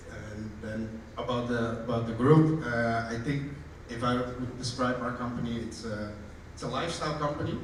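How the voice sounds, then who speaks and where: A man introducing himself as a panellist, speaking tentatively with fillers. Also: mid-range voice. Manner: tentative, halting start. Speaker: panellist, director of development. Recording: echoey hall, distant mic, background noise.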